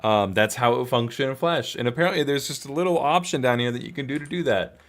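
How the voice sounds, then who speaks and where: A man's voice: mid-range voice, fast talker, fairly bright tone. A man casually talking through a task step by step, in loose, piecemeal sentences. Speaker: animator streaming a work session. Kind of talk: casual explanation while working.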